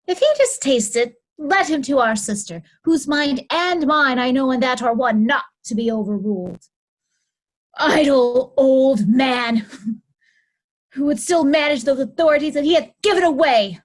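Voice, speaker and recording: A woman's voice: sits high, measured pace. A woman playing a haughty, resentful royal daughter in a readers' theatre Shakespeare play, imperious and scornful. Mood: scornful, commanding.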